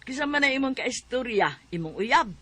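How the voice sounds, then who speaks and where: Nagging Filipino mother, animated. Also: high-pitched, a little fast, slightly rough, exaggerated voice. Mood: nosy, teasing. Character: nagging, teasing mother eager for grandchildren. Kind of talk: radio drama acting.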